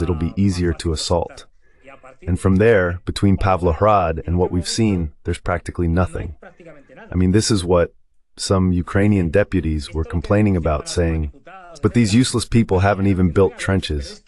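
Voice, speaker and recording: A man with a low-pitched voice works through military commentary as an analyst. He explains step by step and drops in conversational asides, in the manner of a translated voice-over. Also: darkish tone. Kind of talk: live war commentary read as an AI voice-over translation.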